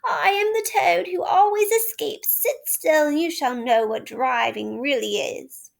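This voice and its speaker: A woman's voice: extremely high pitch, takes it slowly, vocal fry, voice pushed up, full character voice. A woman voicing a conceited male toad disguised as a washerwoman, by turns feeble, wheedling and boastful.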